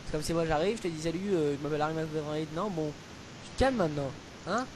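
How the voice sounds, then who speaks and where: A young man with a slightly high voice improvises a prank call. He needles and lectures in a mock-serious, provocative way. The recording is amateur, with obvious noise and clicks. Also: fast. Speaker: prank caller.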